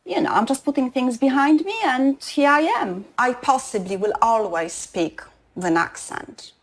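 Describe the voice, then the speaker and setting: A woman's voice: high voice, moderate pace. A woman from Poland with a Polish accent, speaking reflectively in a documentary interview.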